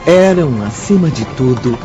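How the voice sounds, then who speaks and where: A man's voice: mid-range voice, lively intonation, unhurried, close to an everyday voice. A Brazilian man narrating a radio novel as a solemn storyteller, in a dull recording with music behind him. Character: solemn storyteller of a spiritual tragedy.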